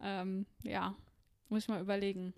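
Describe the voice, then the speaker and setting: A woman's voice: medium pitch, little pitch movement, moderate pace, a little mellow. A young woman co-hosting a comedy podcast, chatting casually and laughing at herself. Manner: self-deprecating, giggly. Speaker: podcast co-host. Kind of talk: casual chat.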